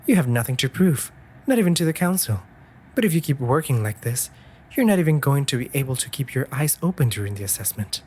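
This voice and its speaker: A man playing a caring, anxious raven familiar in a comforting audio roleplay, articulate and gently scolding in formal phrases. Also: mid-range voice, sing-song, quick pace, slight background noise, natural voice. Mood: concerned, chiding.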